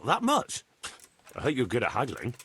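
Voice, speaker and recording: Henry, lively plain-spoken medieval lad. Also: male voice, medium pitch, normal speed, slightly rough, exaggerated voice. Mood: doubtful. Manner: plain-spoken, respectful, informal. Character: young medieval man Henry, plain-spoken, dutiful helper. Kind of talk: video game cutscene acting.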